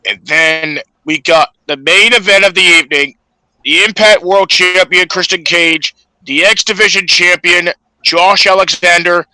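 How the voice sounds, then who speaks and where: A man co-hosting a podcast, recapping wrestling matches casually in a halting, stop-and-start delivery. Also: high-pitched, sharp tone, no room sound, poor audio. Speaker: podcast co-host.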